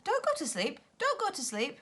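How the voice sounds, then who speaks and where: A woman's voice: high-pitched, huge pitch swings, normal speed, a bit bright, voice pushed up, heavily acted. A woman voicing an anxious, bossy little fairy in a children's storybook, urgent and pleading.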